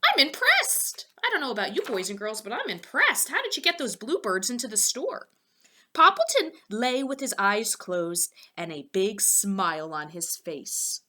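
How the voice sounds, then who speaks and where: A woman reading a storybook aloud to young children, expressively, pausing for asides and questions to her listeners. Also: a little high, big pitch swings, pushed and bright.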